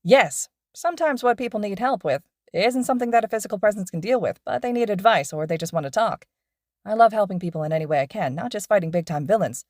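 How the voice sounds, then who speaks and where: A woman voicing a loud, cheerful male hero teacher with an exuberant, projecting manner. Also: mid-range voice, lively intonation, fast, no room sound, clean studio sound, close to an everyday voice. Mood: upbeat.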